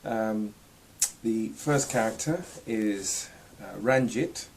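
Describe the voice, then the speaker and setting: Slow talking guy actor with a lower voice, bit of hiss behind him. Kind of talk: informal spoken introduction.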